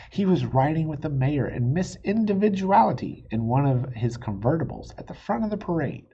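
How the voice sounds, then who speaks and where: Animated, husky man reading a children's story. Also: medium pitch, highs cut off. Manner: playful, expressive, drawn-out emphasis. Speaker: reader of a children's book. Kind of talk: animated storybook read-aloud with asides.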